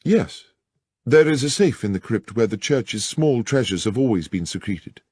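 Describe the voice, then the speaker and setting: A man's voice: mid-range voice, lively intonation, moderate pace, a little mellow, slightly rough, no echo. A man voicing an earnest, anxious young clergyman in an audiobook, speaking formally and with care. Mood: anxious, confiding. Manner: formal, careful, wordy. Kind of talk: dramatized audiobook character dialogue.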